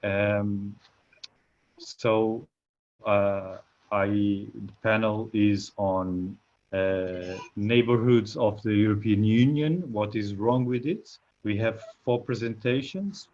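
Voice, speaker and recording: A man with a lowish voice moderates an academic panel over a video call, taking his time. He halts often, filling gaps with "uh" and restarting phrases. The call audio sounds dull and lo-fi. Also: slow, darkish tone. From Portugal. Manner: hesitant, many filler sounds and restarts. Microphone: computer mic on a video call.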